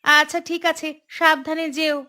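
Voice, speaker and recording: Bright, high-pitched caring village wife. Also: female voice, little pitch movement, normal speed. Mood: fond, brisk. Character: caring, hardworking young village wife and daughter-in-law. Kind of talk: Bengali cartoon voice acting.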